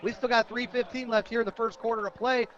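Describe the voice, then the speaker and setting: An American man calling high school football play-by-play on the radio, excitable when a big play comes. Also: quick pace. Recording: dull, lo-fi outdoor radio broadcast.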